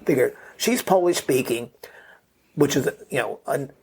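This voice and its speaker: A man's voice: mid-range voice, expressive, measured pace, vocal fry, a little mellow, a little hiss or hum. A middle-aged man, a podcast guest, talking through a family story in a loose, rambling way, tagging his points with "right?" and "you know.". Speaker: podcast guest, memoir author. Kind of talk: conversational interview storytelling.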